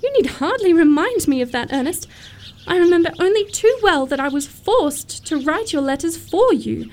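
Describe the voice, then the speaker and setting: A woman's voice: extremely high pitch, expressive, moderate pace, a little mellow. A woman playing a romantic, self-assured young upper-class lady in a period comedy radio play, precise and sweetly matter-of-fact.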